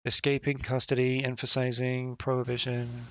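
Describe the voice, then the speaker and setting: A man's voice: mid-range voice, completely flat delivery, moderate pace, a bit bright, slight background noise. A man streaming a puzzle game live, thinking aloud, swearing and muttering to himself as he tries passwords. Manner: swears and mutters while working through a puzzle. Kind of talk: live gameplay commentary. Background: faint game music.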